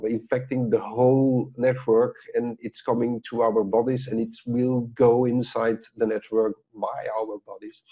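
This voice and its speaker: Gravelly middle-aged Dutch-speaking man. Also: mid-range voice, dark tone. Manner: rambling, many restarts and fillers, chuckles. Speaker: author and guest. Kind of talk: casual interview over video call. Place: office with branded backdrop. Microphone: lapel mic on video call. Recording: dull lo-fi video call audio.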